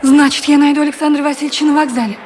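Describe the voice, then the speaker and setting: A woman's voice: sits high, fairly even intonation, very fast, darkish tone, slight background noise, close to an everyday voice. An actress playing a refined, ardent woman in love, emotional and theatrical, in a Russian period radio drama. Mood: anxious. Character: Anna, refined, ardent woman in love, early 20th century.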